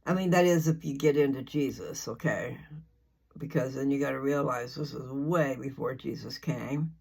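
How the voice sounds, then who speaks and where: An older speaker chatting casually and informally in a folksy, meandering way, often halting to search for words. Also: mid-range voice, vocal fry, a little mellow, breathy edge. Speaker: host of a scripture reading channel. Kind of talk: rambling casual chat before a reading.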